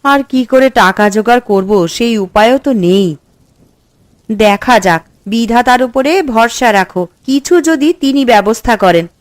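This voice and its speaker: Cartoon village wife, female actor: poor home cook, higher-pitched voice, music underneath. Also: moderate pace, no room sound, close to an everyday voice. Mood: downcast. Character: poor, worried village housewife who cooks. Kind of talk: cartoon voice acting. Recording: music behind the voice.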